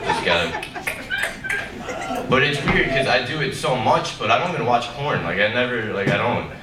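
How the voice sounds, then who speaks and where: A young man doing a stand-up comedy set, with a low voice and a deadpan, rambling, self-mocking delivery. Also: normal speed, very noisy. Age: young adult. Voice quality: low, deep voice. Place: comedy club stage with a live audience. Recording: roomy, distant live club recording with loud crowd laughter.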